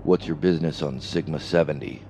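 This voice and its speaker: A man playing a stern, suspicious spaceport security boss in a science fiction audio drama, slow and menacing in manner. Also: very deep voice, fairly even intonation, dark tone, slight background noise. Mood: stern, probing.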